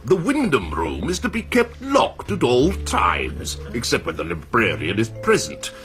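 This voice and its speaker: An actor playing a sly, needling college don in a radio drama, with a pointed, teasing way of talking. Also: male voice, medium pitch, huge pitch swings, measured pace, fairly bright tone, faint music behind. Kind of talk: British radio drama acting.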